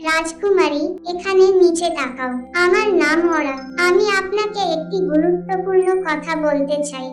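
A woman's voice: very high-pitched, normal speed. A woman voicing an honest, earnest talking swan in a children's fairy tale cartoon. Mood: earnest, urgent. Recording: roomy, distant voice under loud music.

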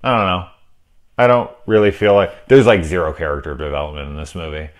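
A man in his thirties with a low voice reviews a horror film straight to the camera from a small room lined with movie shelves. He talks loosely and candidly, swearing freely and restarting his sentences. The delivery is unscripted and conversational. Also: fairly even intonation, brisk. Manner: candid and profane, with frequent fillers and restarts. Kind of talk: casual spoken film review.

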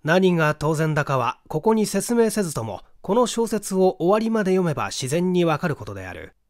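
A man in his thirties reading a literary mystery story aloud in a measured storytelling delivery. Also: high-pitched, sing-song, dry recording, studio quality. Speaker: audiobook reader, stage actor. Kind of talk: literary mystery novel reading, scripted narration.

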